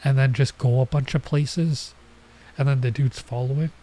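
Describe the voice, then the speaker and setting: A man's voice: medium pitch, steady tone, normal speed, warm tone. A man playing a visual novel and chatting casually, his voice nasal and stuffed up as he comes down with a cold. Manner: rambling, chatty asides. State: coming down with a cold. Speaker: streamer. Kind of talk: casual let's play commentary.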